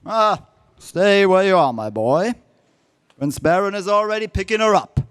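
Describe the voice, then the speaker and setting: A man's voice: high-pitched, lively intonation, unhurried, clear, resonant tone, no room sound, clean studio sound. An actor playing a boisterous, grandiose king of hawk people in a space serial radio play. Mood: jovial. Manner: grandiose, declamatory.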